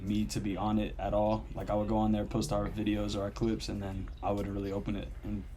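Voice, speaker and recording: A man's voice: lowish voice, completely flat delivery, fast talker, mellow, slight background noise. A young man co-hosting a podcast in casual chat, rambling with lots of fillers and trailing off. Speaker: podcast co-host.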